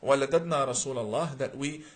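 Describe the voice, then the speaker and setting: A man's voice: medium pitch, racing. A man from Iraq hosting a live question-and-answer stream, reading viewer comments aloud and answering them earnestly, with many uh's and repeated words. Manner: reads viewer names then answers, with frequent uh and repeated words.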